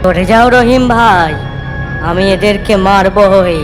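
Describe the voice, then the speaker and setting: A woman's voice: medium pitch, lightly acted. Woman voicing a vengeful mansion ghost. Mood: menacing. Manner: slow, menacing, drawn-out. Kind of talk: dubbed cartoon acting. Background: loud music.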